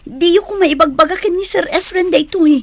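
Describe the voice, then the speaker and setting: An envious woman office schemer with a very high, emotive voice, recorded close and dry. She draws out her words in melodramatic radio-play style. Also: normal speed, no echo. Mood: tearful pleading. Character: scheming envious female office worker, pleading and remorseful when caught. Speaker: radio drama actress. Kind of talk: Filipino radio drama acting in Ilocano.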